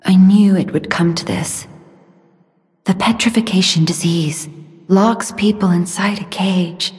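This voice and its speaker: An actress playing a gentle, sorrowful young mystical woman in a video game, speaking softly and breathily in a solemn, earnest, measured way. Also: lowish voice, natural voice. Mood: gentle, wistful. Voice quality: soft, breathy, airy. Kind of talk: dramatic video game voice acting. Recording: long reverb, music behind the voice.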